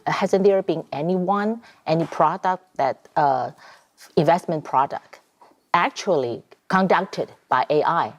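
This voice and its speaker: Low-pitched Taiwanese woman interviewer. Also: unhurried. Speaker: television interview host. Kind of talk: broadcast interview, switching between Mandarin and English.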